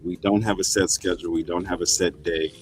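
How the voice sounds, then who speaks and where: A man's voice: sits low, steady tone, brisk, a little hiss or hum. A man hosting a casual group chat, telling loose stories and welcoming each guest by name. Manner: loose, storytelling, acts out quotes with raised voice. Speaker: podcast host.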